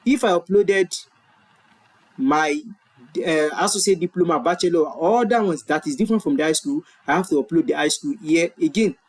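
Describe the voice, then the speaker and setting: A man's voice: a little high, normal speed, husky. A Nigerian man whose first language is Yoruba, walking viewers through an online form in a screen-share tutorial, explaining patiently and correcting himself as he goes. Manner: explanatory, repeats and self-corrects. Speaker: tutorial presenter. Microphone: webcam in corner of screen recording.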